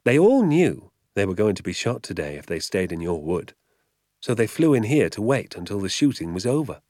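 A man voicing a calm, mischievous father who speaks softly and wryly. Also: on the low side, moderate pace, dry recording, clean studio sound. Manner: soft-spoken, wry.

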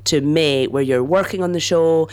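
A woman's voice: low voice, normal speed, darkish tone, clear, resonant tone. Wry Scottish woman in her thirties. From Scotland. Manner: dry, wry delivery that sounds sarcastic, laughs often. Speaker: comedian guest. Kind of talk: casual radio interview chat. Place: radio studio.

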